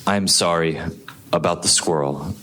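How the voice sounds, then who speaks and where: A man's voice: low-pitched, unhurried, a bit bright, slight background noise. A man playing a tentative, defensive, guilt-laden husband in a live radio play, hesitant and pleading. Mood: tentative, apologetic. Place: live on a theatre stage.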